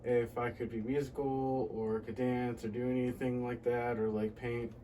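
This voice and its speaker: A man from the United States speaking as a podcast guest in a dark, relaxed voice with little pitch movement. He talks casually and thoughtfully, halting mid-sentence. The mic is a bit distant, with faint background noise. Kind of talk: casual reflective interview answers.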